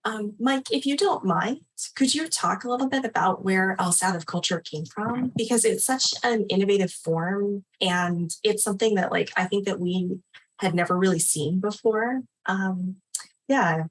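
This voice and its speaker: A woman's voice: medium pitch, normal speed, rough recording. A woman hosting a literary event, talking casually and off the cuff in an appreciative, affirming way. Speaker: host and literary magazine editor at a launch party. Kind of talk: casual, spontaneous event moderating and discussion.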